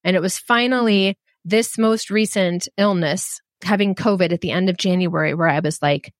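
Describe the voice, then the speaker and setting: A middle-aged woman telling a personal story in a frank, animated and self-deprecating way. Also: lowish voice, normal speed, no room sound, clean studio sound. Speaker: storyteller guest.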